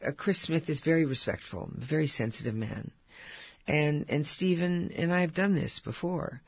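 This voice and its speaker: Husky older woman, chatty and laughing. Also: low-pitched, moderate pace, no room sound, highs cut off. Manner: chatty, laughs often, warm storytelling. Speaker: actress guest. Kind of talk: casual interview chat.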